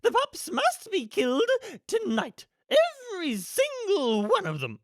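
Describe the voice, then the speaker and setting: Shrill, imperious storybook villainess, Cruella. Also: female voice, wildly swooping pitch, unhurried, no room sound, clean studio sound, exaggerated voice. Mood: commanding, impatient. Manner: haughty, theatrical, commanding. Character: Cruella, imperious gleefully murderous rich villainess.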